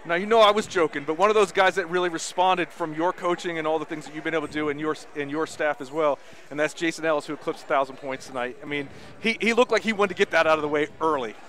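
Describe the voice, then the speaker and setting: A man's voice: on the high side, fast, few pauses, a bit bright. A man interviewing a coach after a game, asking long, rambling questions. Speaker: broadcast interviewer.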